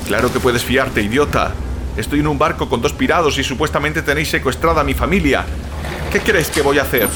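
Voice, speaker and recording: An actor as an agitated brother from Spain, over music. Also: male voice, mid-range voice, brisk, natural voice. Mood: sarcastic, angry. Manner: agitated, incredulous, sarcastic. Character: alarmed, defiant, sarcastic younger-generation brother, bewildered and foul-mouthed. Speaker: actor in an audio drama. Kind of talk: Spanish audio fiction acting with inner narration. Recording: dramatic music bed under the voice.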